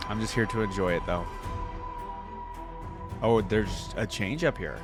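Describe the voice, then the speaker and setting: A man's voice: lowish voice, measured pace. A man hosting a reaction video, thinking aloud in casual, informal commentary. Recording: music playing behind the voice.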